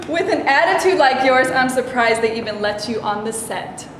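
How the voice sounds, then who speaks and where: A woman's voice: high voice, steady tone, normal speed, fairly bright tone. A woman playing a haughty, tactless bit-part actress in a staged play reading, snide and brash. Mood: snide, taunting. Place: indoor room, live staged reading. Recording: distant mic, echoey noisy room.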